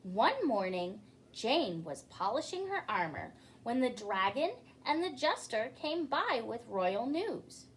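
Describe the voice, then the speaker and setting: A woman reads a children's story aloud as a storytime host, theatrical and addressing her listeners directly. Her voice is high and swoops expressively, and she takes her time, with faint noise behind her. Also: very animated, takes it slowly.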